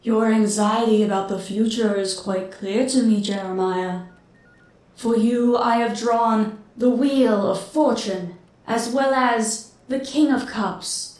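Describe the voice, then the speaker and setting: A woman's voice: a little high, steady tone, a little mellow. A woman playing a solemn tarot fortune teller, giving a portentous, theatrical reading. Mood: solemn, mysterious. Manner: portentous, measured. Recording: echoey, reverberant voice.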